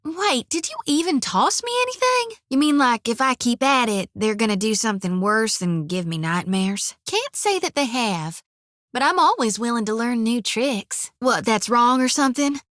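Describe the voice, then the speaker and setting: A woman's voice: a little high, sing-song, moderate pace, a bit bright, dry recording, clean studio sound. An actress voicing a friendly, folksy young woman priest in a video game, with a drawl and a chatty, earnest manner. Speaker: game companion character.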